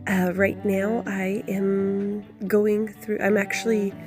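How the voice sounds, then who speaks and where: A woman talks through her day at home as she cooks, her voice a little off from a cold she is getting over. She rambles in a friendly, easygoing way, full of ums and restarts, at an ordinary middle pitch, with soft music playing faintly underneath. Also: little pitch movement, takes it slowly. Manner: chatty and rambling, with many fillers and restarts. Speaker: homestead vlogger. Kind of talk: casual cooking vlog narration. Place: home kitchen.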